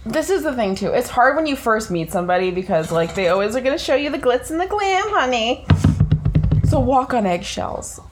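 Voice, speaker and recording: A woman co-hosting a casual chat, raspy and coughing, talking bluntly and playfully with lots of swearing. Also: on the high side, big pitch swings, brisk, a little hiss or hum. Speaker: podcast co-host.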